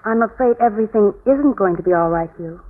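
A woman's voice: medium pitch, expressive, fairly quick, lightly acted. A woman playing a cool, bitter, accusing murder suspect with controlled, pointed delivery in 1940s radio melodrama style. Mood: grave, accusing. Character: cool, accusing, bitter sister-in-law under suspicion. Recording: muffled, phone-like 1940s radio recording.

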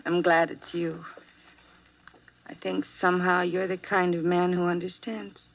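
A young nurse talks quietly and plainly, with some words dropping to a whisper. It is heard through the dull, narrow sound of an old radio transcription. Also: female voice, fairly low pitch, flat delivery, a little slow, gravelly. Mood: confessing. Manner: quiet, confessional, sometimes whispering. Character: young nurse who confesses to a killing. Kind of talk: 1950s radio drama acting.

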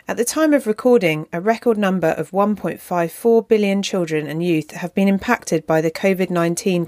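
Low-voiced woman reading a podcast intro. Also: a little mellow, dry recording, clean studio sound. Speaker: podcast host.

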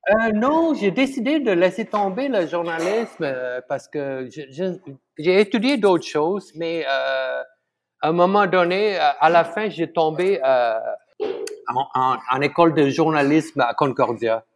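A middle-aged man from Malaysia with a Malaysian accent, whose first language is Tamil, speaking in an interview as a guest chef. He talks haltingly, with many fillers and restarts, and chuckles often. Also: fairly high pitch, lively intonation. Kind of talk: casual conversational interview.

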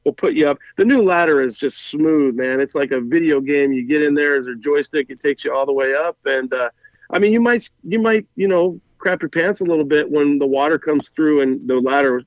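A man's voice: on the high side, brisk, dry recording, poor audio. A man from Montana chatting casually as a podcast guest, rambling with enthusiasm and often repeating words as he starts a thought. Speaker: guest, firefighter and truck podcaster.

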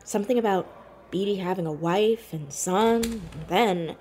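A woman's voice: medium pitch, normal speed, mellow, a little hiss or hum, lightly acted. A woman playing a curious, dry-witted teenage girl investigator in a naturalistic audio drama. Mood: intrigued. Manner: inquisitive, dry, hesitant on personal matters. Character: Max, curious sharp-witted teenage girl sleuth, orphaned.

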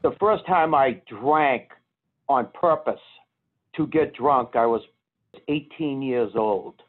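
A man's voice: medium pitch, takes it slowly, slightly rough. A man in his fifties with a strong Boston accent, telling his story plainly and unhurriedly as an interview guest. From Boston area, USA. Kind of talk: casual conversation. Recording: tinny phone line.

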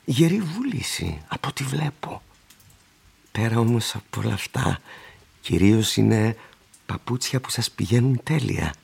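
An actor playing a polite, eerily insistent old shoe-shiner in a radio drama, with a gravelly, husky, breathy voice and a confiding manner. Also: male voice, fairly low pitch, full character voice. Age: older.

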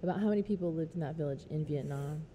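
A woman's voice: deep voice, quick pace, mellow, a little hiss or hum. A woman interviewing a guest in a chatty, curious way, asking lots of follow-up questions. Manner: chatty and curious, laughs often. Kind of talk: casual interview.

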